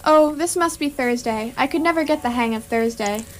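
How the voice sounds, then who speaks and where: Put-upon, exasperated everyman acted by a woman, very high voice, dry sarcasm, radio play. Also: moderate pace, natural voice. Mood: bewildered, resigned. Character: bewildered, indignant ordinary Englishman. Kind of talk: amateur radio theatre acting.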